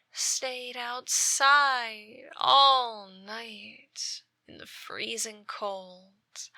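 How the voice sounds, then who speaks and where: Woman acting a slow, whispery obsessive yandere ex-girlfriend. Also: on the high side, sharp tone, no room sound, heavily acted. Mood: taunting devotion. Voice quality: breathy, often dropping into whispers. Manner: slow, deliberate, taunting, theatrical. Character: obsessive, menacing yandere ex-girlfriend stalking her beloved. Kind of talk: ASMR roleplay, dramatic monologue. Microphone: close dry microphone.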